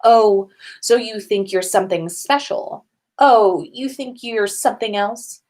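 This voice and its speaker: A woman's voice: medium pitch, unhurried, darkish tone, natural voice. Woman playing a cheeky, mocking teenage boy wizard. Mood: sassy, mocking.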